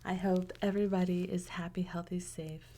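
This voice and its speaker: A woman reading a picture book aloud to children in a gentle, unhurried way, with casual asides. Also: on the low side, flat delivery, slight background noise. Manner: gentle, unhurried, pausing between short phrases.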